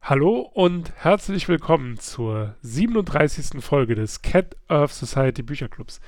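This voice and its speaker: A man hosting a casual book club podcast, a little stuffy from a slight cold, chatty and quick to laugh, with many filler sounds. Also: on the high side, big pitch swings, darkish tone, dry recording, clean studio sound, faint music behind. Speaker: podcast host.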